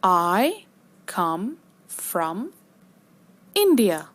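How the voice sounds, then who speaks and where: A woman teaching beginner English, speaking slowly and clearly with careful, drawn-out words. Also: medium pitch, big pitch swings. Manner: slow, deliberate, over-enunciated teaching delivery. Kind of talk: slow scripted lesson narration.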